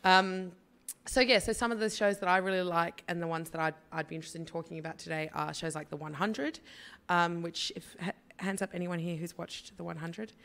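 An Australian woman speaks on a theatre stage into a stand microphone, her voice husky from a cough. She sits a little low in pitch and talks in a chatty, wry way, full of fillers. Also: fairly even intonation, a little fast, a bit bright. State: has a cough. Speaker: panelist, writer. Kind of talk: casual panel talk. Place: theatre stage before an audience.

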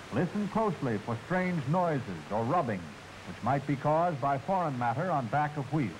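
An American man reads scripted instructional narration in the style of a 1940s military film. His voice sits fairly high and moves with lively intonation, formal and clearly enunciated. The old soundtrack carries a faint hiss.

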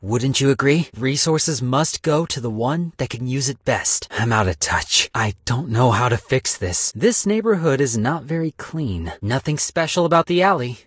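A calculating salaryman from a video game, a cynical stock broker with a husky voice. His delivery moves around in pitch while staying wry, polite and businesslike. The sound is dry and close. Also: expressive, moderate pace, hardly any pauses, no room sound. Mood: wry, detached. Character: smooth-talking, money-minded, cynical male stock broker. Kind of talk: video game character voice lines.

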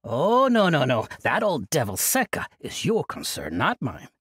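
A man's voice: on the high side, huge pitch swings, a little slow, fairly bright tone, husky, no echo, clean studio sound, full character voice. A man voicing an eccentric, cheerful old monk with a sing-song, scatterbrained manner. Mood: jovial.

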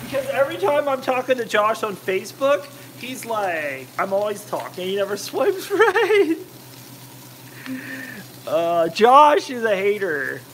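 Man, high voice, animated, rambling jokey chat, home kitchen, faint hum. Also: measured pace. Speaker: cooking vlogger. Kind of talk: casual cooking livestream chat.

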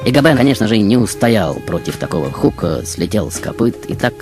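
A man's voice: lowish voice, big pitch swings, a little fast. A man playing a glamorous, boastful female film star who recalls lovers in a theatrical, arch radio monologue. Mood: wry, dramatic storytelling. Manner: theatrical, arch, swooping between hushed and loud. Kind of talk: dramatic first-person radio monologue. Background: music behind the voice.

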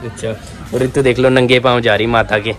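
A man's voice: medium pitch, steady tone, brisk. A young man from the Jammu region chatting upbeat to his viewers as he films on a moving train with a handheld phone. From Jammu region, India. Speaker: travel vlogger. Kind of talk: casual vlog narration to viewers. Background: background music over train noise.

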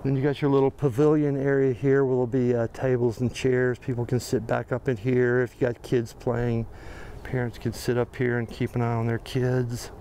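An American man with a gravelly voice of ordinary pitch narrates casually as he walks. He thinks aloud, pausing often and filling gaps with small hesitations. His camera mic outdoors picks up clear street and traffic noise. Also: low-quality recording. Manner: relaxed, thinking aloud with frequent pauses and fillers. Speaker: videographer on a walking site tour. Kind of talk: casual walking commentary. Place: outdoor construction site in a city plaza. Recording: outdoor camera audio with noticeable background noise.

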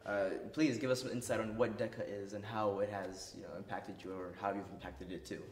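A man's voice: lowish voice, level tone, brisk, a little hiss or hum. Young male student host, casual and encouraging, mid-range voice in a reverberant room. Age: young adult. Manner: friendly, laid-back, lots of affirming murmurs. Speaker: interview host. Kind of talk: student interview show. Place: wood-paneled room by a fireplace, indoors. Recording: roomy room sound.

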